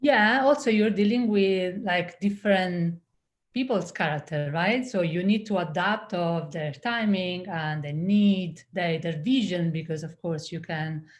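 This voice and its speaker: A woman's voice: lowish voice, a little slow. A woman, explaining her work casually as a guest on a panel. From Italy. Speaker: art director, interview guest.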